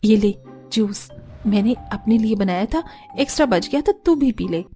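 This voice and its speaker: A woman voicing a bossy, gruff but caring elder sister-in-law in a cartoon story. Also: mid-range voice, animated, moderate pace, dark tone, soft background music, natural voice. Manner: bossy, brusque. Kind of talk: cartoon voice acting.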